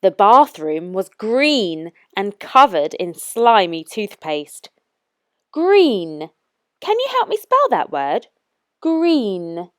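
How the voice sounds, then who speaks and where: A woman reading aloud as a children's storytime narrator, with expressive, careful and deliberate phrasing. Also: medium pitch, smooth, dry recording, clean studio sound. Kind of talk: scripted storybook read-aloud for young children.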